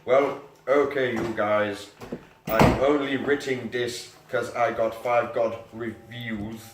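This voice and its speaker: Man putting on a whiny, drawn-out teenage girl author. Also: medium pitch, steady tone, background noise. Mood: petulant. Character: defensive, petulant teenage girl fan-fiction author. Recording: echoey room, mic at a distance.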